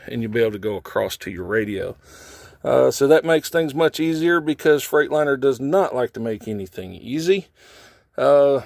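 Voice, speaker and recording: Male DIY host, folksy and informal, mid-pitched, explaining hands-on work step by step as he goes. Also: creaky, dry recording, studio quality. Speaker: how-to video host, trucker. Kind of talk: casual hands-on tutorial, talking while working. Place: in and around a truck cab.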